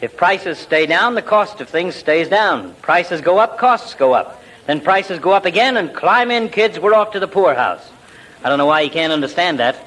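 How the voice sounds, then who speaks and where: A middle-aged doctor from a 1940s radio comedy, with a slightly high voice. He is gruff and sardonic, needling his friends with dry, fond wisecracks. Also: animated, moderate pace, a bit bright, poor audio. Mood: exasperated, sardonic. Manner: dry, teasing sarcasm.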